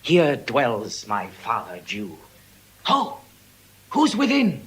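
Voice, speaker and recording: An actor playing an ardent young gentleman lover, speaking Shakespearean verse. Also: mid-range voice, huge pitch swings, slow, a little hiss or hum, full character voice. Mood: genial, amorous. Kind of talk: classical Shakespearean verse acting.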